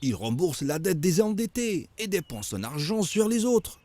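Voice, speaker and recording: An actor playing an expressive, curious storyteller in a children's religious cartoon. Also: male voice, sits high, normal speed. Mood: curious, envious. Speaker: narrator and questioner.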